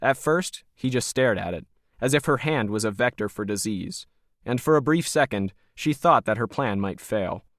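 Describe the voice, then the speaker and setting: A man's voice: mid-range voice, expressive, moderate pace, a bit bright, no echo, studio quality. A man narrating a scripted science fiction story.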